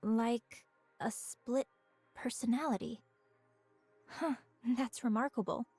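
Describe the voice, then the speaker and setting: A woman's voice: a little high, slow pace, darkish tone, slightly rough, no echo, exaggerated voice. A woman voicing a poised, inquisitive female researcher with hidden menace in a dramatic video game scene. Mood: fascinated. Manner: curious, probing, poised. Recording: music behind the voice.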